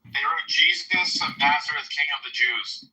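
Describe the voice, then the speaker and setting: Laid-back man with a bright voice. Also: fairly high pitch, normal speed, low-quality recording. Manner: short, laid-back answers. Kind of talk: casual group voice chat.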